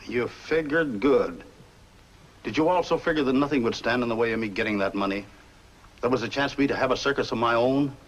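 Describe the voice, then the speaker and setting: An actor playing a cunning, guarded circus owner and killer in a 1950s film noir. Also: male voice, medium pitch, brisk, muffled recording, close to an everyday voice. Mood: bitter, cornered. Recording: noisy old film soundtrack.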